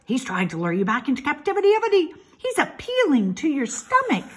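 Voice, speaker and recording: A woman's voice: high voice, huge pitch swings, a little fast, pitched above the performer's own voice. A woman voicing a bossy, frantic goose in a children's storybook, giving urgent, repetitive honking orders. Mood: alarmed, pleading.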